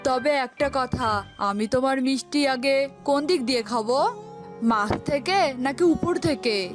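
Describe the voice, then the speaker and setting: Woman as a high-voiced cunning eagle king. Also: unhurried, music in the background. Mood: sly, coaxing.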